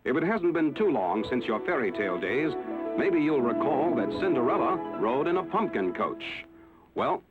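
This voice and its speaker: A man narrates an old newsreel in a measured, formal announcer manner, his pitch rising and falling with lively emphasis. The sound is thin and tinny, like an old film soundtrack, with music playing clearly underneath. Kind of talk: scripted 1960s newsreel narration.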